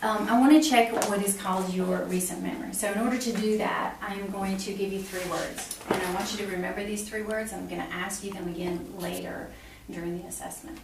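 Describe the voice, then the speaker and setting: A woman's voice: mid-range voice, fairly even intonation, a little fast, creaky. A middle-aged woman giving a clinical exam demonstration as a nursing instructor, explaining each step aloud to the patient. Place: small block-walled exam room. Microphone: camera a few feet away. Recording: roomy, distant camera mic, faint noise.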